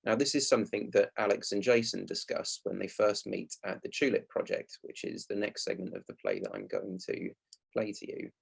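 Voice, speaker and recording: Low, creaky-voiced man giving a talk. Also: wildly swooping pitch, fairly quick. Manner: explanatory. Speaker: speaker presenting a play. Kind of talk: prepared talk.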